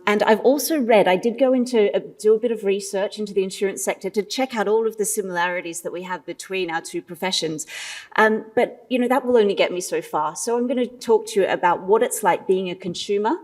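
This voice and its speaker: A woman's voice: mid-range voice, a little mellow. A woman in her forties with an Australian accent, giving a prepared talk at a conference and stressing key words as she goes. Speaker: conference speaker.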